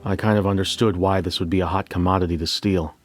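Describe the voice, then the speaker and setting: A man narrating a scripted first-person story as a bank manager, in a measured, reflective way with deliberate pauses. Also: low voice, fairly even intonation, faint music behind. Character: bank manager recounting a memory heist.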